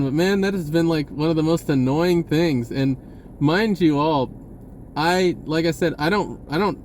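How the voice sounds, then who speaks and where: A man with a slightly high voice rambles through an unscripted monologue. He stops and restarts, repeating words as he goes. A faint noisy rumble sits behind him. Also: a little mellow. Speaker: spiritual video blogger. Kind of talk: casual unscripted monologue to viewers.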